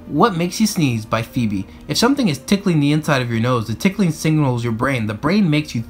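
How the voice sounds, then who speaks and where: A man reading a children's picture book aloud in an animated, expressive way. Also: fairly high pitch, brisk, soft background music. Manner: expressive, acts out captions and speech bubbles.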